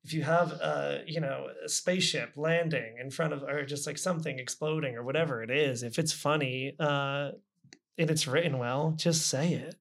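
Male podcast host, somewhat high-pitched, informal, wry and playfully exaggerating. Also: normal speed, vocal fry, fairly bright tone. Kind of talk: casual chat.

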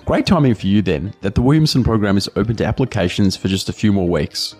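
A man's voice: lowish voice, lively intonation, fast talker, darkish tone, faint music behind. An Australian man hosting a podcast in an informal, upbeat way. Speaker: podcast host. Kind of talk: scripted podcast introduction and casual interview.

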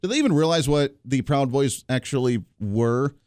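A male radio host delivers political commentary in an opinionated, sarcastic way, tossing in quick asides. His voice sits at a middle pitch. The recording is dry and close, with no room sound. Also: animated, darkish tone, smooth, no echo. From Kansas area, United States. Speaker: radio talk show host.

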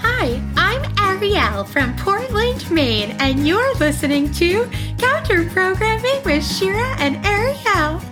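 A woman voicing a squeaky, high-pitched parody of a young woman reading a podcast intro. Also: very animated, slow pace, hardly any pauses, music in the background, exaggerated voice. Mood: mocking. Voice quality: very high and thin. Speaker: podcast co-host.

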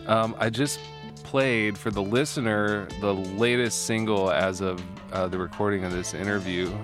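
A man hosting a relaxed interview, talking with lots of fillers and restarts. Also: fairly low pitch, moderate pace, over music. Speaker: podcast host. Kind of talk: casual interview.

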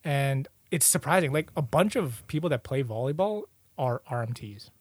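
A man's voice: mid-range voice, animated, normal speed, slightly rough, dry recording. A young man chatting casually with friends, full of slang and swearing. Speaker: podcast co-host.

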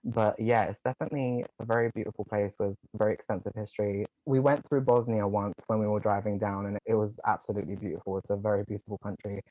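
A young man speaking quickly and earnestly as an interview guest, his low voice packed with casual "like" fillers. The sound is dry and close, but slightly dull and muffled, as though the recording lacks its top end. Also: fairly even intonation, no room sound. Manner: earnest, talks in quick runs with many "like" fillers. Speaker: guest, online content creator.

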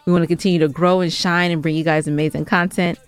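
A woman's voice: on the low side, little pitch movement, fast, clear, resonant tone, no echo. A woman co-hosting a podcast, chatting casually and informally, with music playing behind her. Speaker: podcast co-host.

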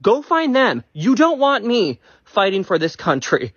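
A man's voice: high-pitched, sing-song, unhurried, fairly bright tone, husky, no room sound. Flippant young man, dull lo-fi clip. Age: young adult. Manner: flippant, exasperated, joking. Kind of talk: casual monologue video.

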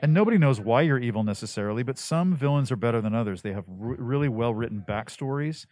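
A middle-aged man with a deep, bass-baritone voice talks casually as an interview guest. He is animated, often jumping higher in pitch when he stresses a point, then settling back into his low register. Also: fast, no echo. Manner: animated, jumps up in pitch for emphasis. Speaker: voice actor guest.

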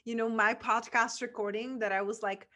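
A woman's voice: on the high side, steady tone, moderate pace, bright, forceful tone. A woman from the Czech Republic with a Czech accent, talking as a guest on a business podcast in an earnest, effusive way with many fillers. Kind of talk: casual interview chat.

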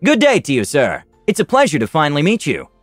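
A man voicing a polite, flattering traveling merchant in his late thirties in a told fantasy story. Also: on the high side, fast talker, no echo, faint music behind, pitched above the performer's own voice. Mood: flattering, cheerful.

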